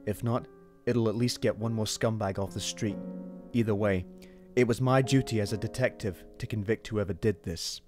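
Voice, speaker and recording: A man's voice: fairly low pitch, steady tone, normal speed, hardly any pauses, darkish tone, soft background music, close to an everyday voice. A man acting a hot-tempered, obsessive, weary police detective in an amateur radio drama, muttering and swearing. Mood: brooding. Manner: brooding, muttering to himself, swearing. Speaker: voice actor in amateur radio play.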